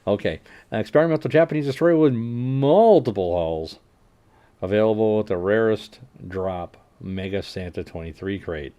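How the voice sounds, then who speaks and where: A man hosting a livestream, casually reading game update notes aloud and adding wry asides that trail off quietly. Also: on the low side, lively intonation, normal speed, highs cut off. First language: English. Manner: frequent fillers and restarts while working out numbers. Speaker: livestream host.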